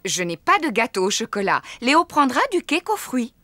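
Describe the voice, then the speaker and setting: A woman voicing a gentle, playful mummy pig in a preschool cartoon, motherly and indulgent. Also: fairly high pitch, sing-song, moderate pace, dry recording, heavily acted.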